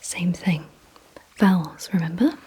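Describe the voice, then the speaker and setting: A woman doing an ASMR roleplay, mostly in a breathy whisper, giving gently commanding instructions in a deliberate manner. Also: on the low side, a little hiss or hum. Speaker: ASMR creator playing a vampire giving memory and attention tests.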